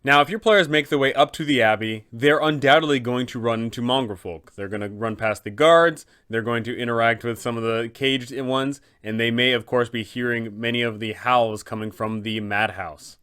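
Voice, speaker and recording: Mid-pitched man giving casual game advice. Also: moderate pace, hardly any pauses, fairly bright tone, clean tone. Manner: conversational, rhetorical questions. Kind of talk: casual explanatory tabletop gaming guide.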